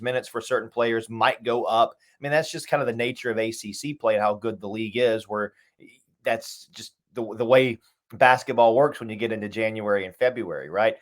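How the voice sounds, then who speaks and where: Male radio sports announcer as interview guest. Rapid, conversational delivery, talkative, stresses points by repeating and listing. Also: on the low side.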